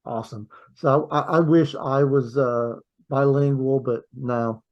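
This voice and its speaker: A man hosting a podcast, chatting in a friendly, halting way and often repeating words. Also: medium pitch, fairly even intonation, lo-fi sound. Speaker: podcast host. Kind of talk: casual interview chat.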